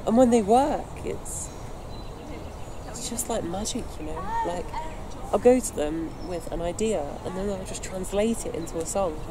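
An actress playing a friendly, self-doubting young singer-songwriter in casual, reflective stage dialogue. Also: female voice, medium pitch, normal speed, natural voice. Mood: wistful, self-doubting. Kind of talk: acted naturalistic stage dialogue, conversational. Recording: noisy open-air location recording.

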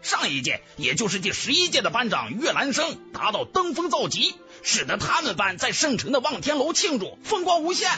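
A man voicing an eager, argumentative young disciple in a fantasy audiobook, forceful and excitable. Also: very high-pitched, a little fast, hardly any pauses, soft background music, voice pushed up, heavily acted.

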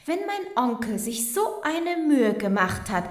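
Woman playing a sharp-witted heiress, high, echoing. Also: big pitch swings, measured pace. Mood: suspicious, firm. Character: sharp-witted, curious heiress, politely suspicious and assertive. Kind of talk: German audio drama acting. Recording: roomy, echoing sound.

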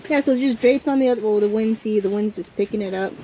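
A woman's voice: mid-range voice, fast talker, warm tone, slight background noise, low-quality recording. A woman chatting casually as a vlogger, rambling and trailing off mid-sentence, outdoors on a boat on a lake, recorded on an action camera. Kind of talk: casual vlog narration. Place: outdoors on a boat on a lake, under a mesh tarp.

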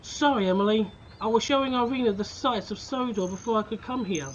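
A woman's voice: fairly high pitch, brisk, highs cut off, a little hiss or hum, close to an everyday voice. A woman voicing a cheerful young male tank engine who talks eagerly and chattily, with music underneath.

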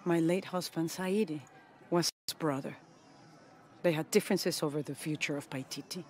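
A middle-aged woman playing a dignified, resolute rebel leader in a video game, speaking with grave, measured authority. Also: deep voice, a little mellow, a little hiss or hum, lightly acted. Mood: grave, explaining. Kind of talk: dramatic video game acting.

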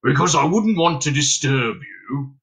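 A man voicing a small, humble, hairy creature with a rough voice and rambling rustic phrasing in a storybook reading. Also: fairly high pitch, lively intonation, a little slow, fairly bright tone, heavily acted. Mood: apologetic, pleading.

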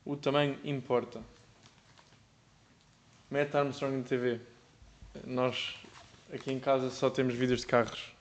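A man's voice: medium pitch, little pitch movement, measured pace, long pauses. A young man with a European Portuguese accent, casually chatting and joking while reacting to a video on a livestream. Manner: laid-back, laughing, joking with chat. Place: streaming room indoors.

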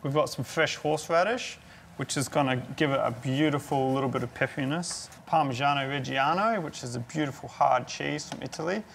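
A man's voice: medium pitch, brisk, creaky, a bit bright, a little hiss or hum. A young man working as a chef, explaining a dish step by step in a cooking demonstration. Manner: explanatory, walks through each step. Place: indoor kitchen.

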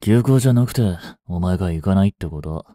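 Audio drama role: languid young tough guy voiced by a man, low-pitched with swooping intonation, gruff, teasing childhood friend. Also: animated, fairly quick, warm tone, no echo, clean studio sound. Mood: gruff concern. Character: languid, blunt young male delinquent, protective childhood friend. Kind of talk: intimate Japanese audio drama acting.

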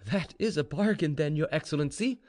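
Man putting on a sly high-pitched servant informer. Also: a little fast, a little mellow, lightly acted. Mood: sly, insistent. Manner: deferential, insistent, bargaining. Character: sly, obsequious male serf informer, quietly confident.

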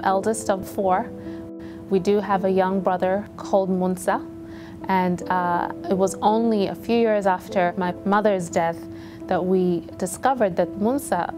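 Young woman, from Zambia, earnest interview, lowish voice, music behind. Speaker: medical student researcher. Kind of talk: documentary interview.

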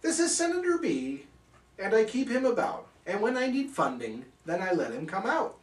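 A man's voice: high voice, huge pitch swings, normal speed, roomy sound, far from the mic, pitched above the performer's own voice. A man voicing eager, scheming little senators from a rhyming storybook, exclaiming in singsong. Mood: confident.

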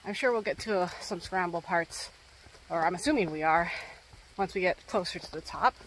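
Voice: medium pitch, moderate pace, a bit bright, slight background noise, low-quality recording. A young adult vlogger talking casually to a phone camera held at arm's length while hiking a wooded mountain trail, a little out of breath, thinking aloud with many fillers.

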